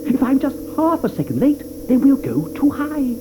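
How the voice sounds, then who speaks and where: A woman's voice: high-pitched, big pitch swings, moderate pace, background noise. An actress playing an eccentric, fussy grown man who is theatrical and stammers when flustered, in a studio radio play with music underneath.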